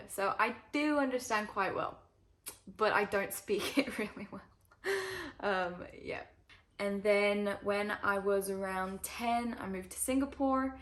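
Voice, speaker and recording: Young woman with a slightly northern British accent. Casual, chatty vlogger voice, middle pitch, filler-heavy. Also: fairly bright tone. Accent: northern English-tinged British. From Switzerland. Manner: chatty, many ums and likes. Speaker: climber and video creator. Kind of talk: casual Q&A to camera.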